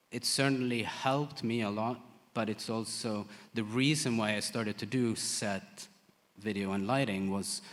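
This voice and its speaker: Low-voiced guy answering questions at an event, lots of ums and restarts, big echoey hall. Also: a bit bright. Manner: halting, many fillers and restarts. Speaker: lighting designer. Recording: long hall reverb.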